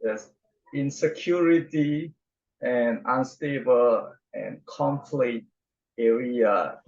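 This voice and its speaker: A man hosting a podcast, asking questions in slow, hesitant phrasing with frequent fillers. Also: medium pitch, a little mellow. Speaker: podcast host. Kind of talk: interview questions. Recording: dull lo-fi audio.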